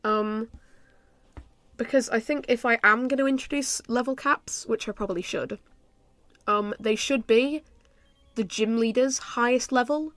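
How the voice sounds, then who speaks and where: A woman's voice: fairly high pitch, unhurried, pauses often, no room sound. Stuffy-nosed young woman thinking aloud. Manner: thinks aloud with many ums, soft laughs. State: stuffy from a cold. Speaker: gaming YouTuber. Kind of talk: casual game commentary.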